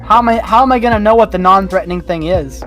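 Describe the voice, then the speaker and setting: A podcast panelist chatting casually and jokingly, telling animated hypotheticals and acting out little dialogues. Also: mid-range voice, quick pace, faint music behind. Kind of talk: casual, joking group chat.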